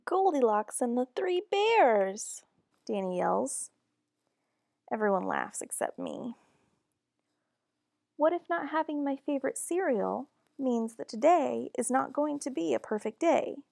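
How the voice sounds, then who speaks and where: A woman reading a children's picture book aloud in a deliberate, expressive storytelling manner. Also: high-pitched, gravelly, no echo, studio quality. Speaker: storybook reader.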